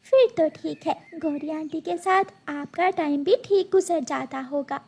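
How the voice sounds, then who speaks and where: Woman voicing a very high-pitched innocent little girl bird. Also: a little slow, a little mellow. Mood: curious. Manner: childlike, pleading.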